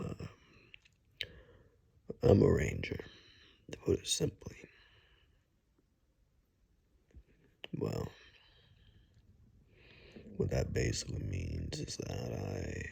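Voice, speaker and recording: Guy doing a calm wry forest ranger, super low breathy voice, lots of gravel, hushed asmr roleplay. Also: exaggerated voice. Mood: wry, relaxed. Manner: slow, hushed, dryly understated.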